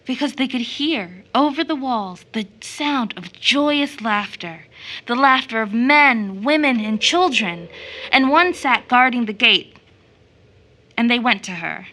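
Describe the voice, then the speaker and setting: A woman's voice: on the high side, expressive, slow pace, bright, forceful tone, full character voice. A woman acting as a storyteller in an audio drama, narrating a children's fairy tale expressively. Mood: storytelling.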